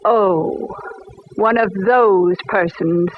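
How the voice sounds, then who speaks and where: An actress playing a stiff, suspicious, middle-aged society wife in 1940s radio drama, prim and imperious. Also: female voice, medium pitch, drawn-out words. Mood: haughty, disapproving. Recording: dull, hissy old radio recording.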